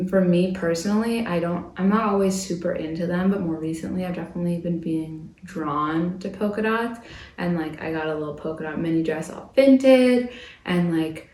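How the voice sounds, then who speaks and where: A woman's voice: fairly low pitch, a little mellow. Chatty young woman, getting over a cold. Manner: chatty, lots of "like" fillers. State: recovering from a cold. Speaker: fashion vlogger. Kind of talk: casual chatty vlog. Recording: echoey room.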